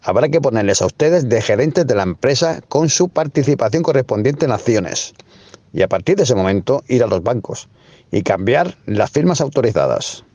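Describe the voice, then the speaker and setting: A man plays a formal, deferential company adviser in an amateur radio soap. He is businesslike, mid-pitched and recorded very dry. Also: sing-song, moderate pace, a little mellow, no room sound.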